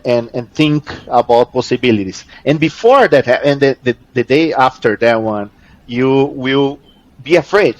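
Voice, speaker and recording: A man's voice: medium pitch, dull audio. A man from Brazil with a Brazilian accent and Portuguese as his first language, answering casually in an interview, repeating words as he searches for them. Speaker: interview guest, jiu-jitsu athlete.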